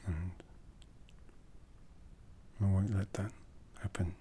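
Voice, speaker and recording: A deep, dark-toned vampire lord, acted by a man, speaks in a soft, breathy half-whisper. He is a brooding, possessive mentor, tender and solemn, as heard in an intimate ASMR roleplay. His words come very slowly and deliberately, close to the microphone, over a faint rumble. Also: little pitch movement. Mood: tender, mournful.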